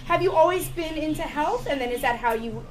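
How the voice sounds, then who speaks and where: High-voiced woman cohost, bubbly, giggly radio chat. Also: lively intonation, a little fast, slight background noise. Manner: bubbly, laughs often. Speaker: co-host.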